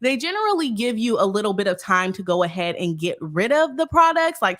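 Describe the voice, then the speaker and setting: A woman's voice: mid-range voice, fairly bright tone. A woman attorney hosting a casual live stream, explaining a legal case plainly and reading chat aloud, sounding stuffy from a cold. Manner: chatty, explains legal terms plainly, reads chat aloud, laughs often.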